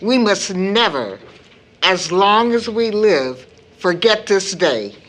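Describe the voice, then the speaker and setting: A woman playing a firm, loving mother of grown children in an audio drama, with measured, formal speech. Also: mid-range voice, animated, a bit bright. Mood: solemn.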